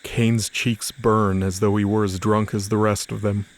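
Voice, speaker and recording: A man's voice: lowish voice, level tone, a little slow. A man narrating scripted fiction as the storyteller of an audio drama. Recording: faint music under the voice. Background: music.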